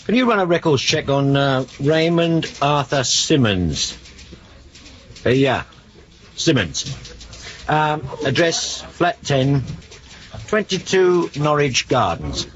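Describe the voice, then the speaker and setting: English detective inspector, old radio play, careful questions with ums, very up and down voice, bit muffled. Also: male voice, on the high side, sing-song, takes it slowly, long pauses. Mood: brisk, businesslike. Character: male police detective inspector, probing and methodical. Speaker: actor in a radio drama. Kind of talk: 1970s British radio drama acting. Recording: dull, noisy old radio recording.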